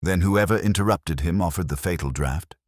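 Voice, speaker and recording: Earnest doctor played by a male narrator, very low voice, inquiring, loyal sidekick. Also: brisk, dry recording, clean studio sound. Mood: earnest, questioning.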